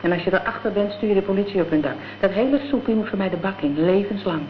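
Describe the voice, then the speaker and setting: A woman's voice: medium pitch, fast, natural voice. An actress playing a gangster's tough, vengeful girlfriend in a radio drama, talking in blunt, scornful slang. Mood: conspiratorial, scornful. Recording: dull, noisy old radio play recording.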